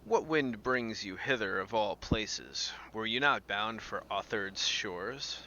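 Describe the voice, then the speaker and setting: A man voicing a wary, formal pirate in a fantasy game, measured and stern. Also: on the low side, lively intonation, sharp tone, dull audio, a little hiss or hum, exaggerated voice. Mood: stern, guarded.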